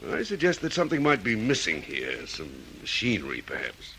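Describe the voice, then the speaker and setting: An actor playing a methodical, questioning police detective in an Australian radio drama, with an Australian accent. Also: male voice, on the low side, wildly swooping pitch, sharp tone, a little hiss or hum, full character voice. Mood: musing. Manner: deliberate, questioning.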